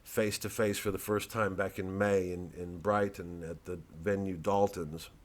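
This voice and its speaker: A man's voice: low voice, flat delivery, measured pace. An American man, a comedian, talking casually to his fans in a rambling, digressive monologue with some swearing.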